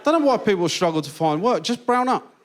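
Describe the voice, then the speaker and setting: British male stand-up, higher voice, stage mic, noisy theatre crowd. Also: brisk. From Britain. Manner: deadpan storytelling with punchlines. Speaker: stand-up comedian. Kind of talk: stand-up comedy set. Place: large theatre with live audience. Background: loud audience laughter.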